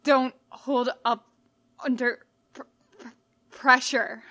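A woman voicing an injured, wary male skeleton monster in a story, halting and snappish with a croak. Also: high voice, level tone, bright, forceful tone, heavily acted. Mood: frightened, stammering. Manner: halting stammer, growling when frustrated.

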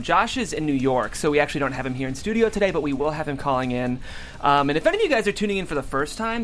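A man's voice: a little high, a bit bright, a little hiss or hum. A man, hosting and narrating a script read in an upbeat way.

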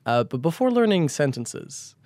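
A man's voice: fairly high pitch, big pitch swings, normal speed, darkish tone, no room sound. A young man with a French accent, co-hosting a podcast in a casual, conversational way. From France. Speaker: podcast co-host.

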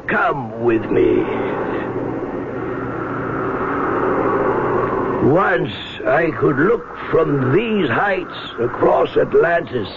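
An actor playing a grave, imperious autocrat of sunken Atlantis in an old radio drama, with stately, formal, declamatory phrasing. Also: male voice, mid-range voice, creaky. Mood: solemn. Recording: muffled, noisy old radio broadcast recording.

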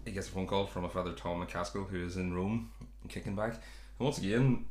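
A man's voice: deep voice, steady tone, fairly quick, darkish tone, reverberant. A man hosting a podcast, chatting casually about a sitcom in a rambling, self-correcting way. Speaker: podcast host.

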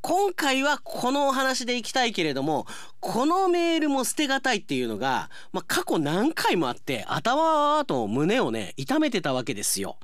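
A man hosting a radio show as announcer, talking conversationally and reading out listener mail in an animated way. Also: extremely high pitch, moderate pace, few pauses, no echo, studio quality.

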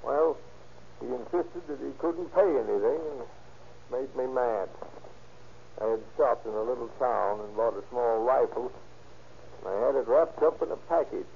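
An actor playing an irritable, evasive murderer under questioning in an old radio crime drama, sullen and defensive. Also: male voice, medium pitch, normal speed, gravelly, pauses often, close to an everyday voice. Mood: grudging confession. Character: irritable evasive murder suspect, grudgingly confessing killer. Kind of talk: 1940s-50s radio crime drama acting. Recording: tinny, noisy old-time radio recording.

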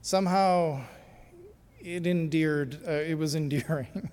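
A man who is a pastor, from the United States, telling a personal story in a sermon in an easy conversational way, with pauses and fillers. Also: fairly high pitch, a little mellow, clear, resonant tone, big-room sound.

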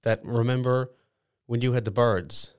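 A man in his late twenties with an Irish accent, chatting casually as a podcast co-host with dry, quick, sweary wit. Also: medium pitch, steady tone. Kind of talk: casual comic chat.

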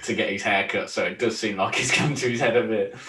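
Lowish-voiced young man in a roomy space. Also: steady tone, a little fast, pushed and bright. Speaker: football podcast co-host. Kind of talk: casual sports chat. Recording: roomy, distant microphone.

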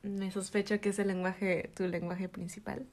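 A woman's voice: mid-range voice, moderate pace. A woman with a Mexican accent, a podcast co-host explaining in a casual, teacherly way. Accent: Mexican Spanish. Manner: animated, teacherly, laughs often.

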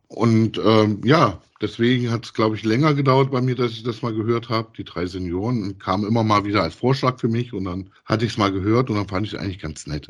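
A middle-aged man co-hosting a casual review podcast, speaking in a halting, hesitant way. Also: on the low side, breathy edge. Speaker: podcast co-host. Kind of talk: casual review chat about audio dramas.

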